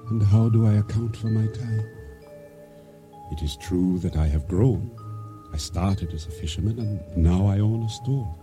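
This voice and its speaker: An actor playing a wry, reflective middle-aged island fisherman turned storekeeper in a radio drama. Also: deep voice. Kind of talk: radio drama acting, first-person narration.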